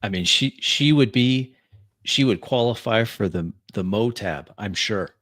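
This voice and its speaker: A man voices a vampire in a tabletop roleplay, humbly addressing his superior. His voice is deep and closely recorded. He is halting, deferential and quick to apologize. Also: expressive, a bit bright, no room sound, studio quality. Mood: apologetic, eager. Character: deferential vampire pleading with a powerful sheriff.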